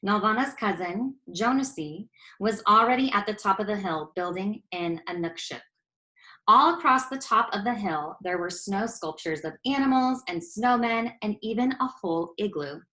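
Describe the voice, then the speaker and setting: Expressive woman reading a picture book. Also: medium pitch, normal speed, poor audio. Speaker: storyteller. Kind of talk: children's picture-book read-aloud.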